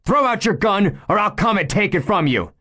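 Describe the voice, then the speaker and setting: A man voicing a steady male police chief who gives firm, level orders. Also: medium pitch, normal speed, voice pushed up, natural voice. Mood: commanding.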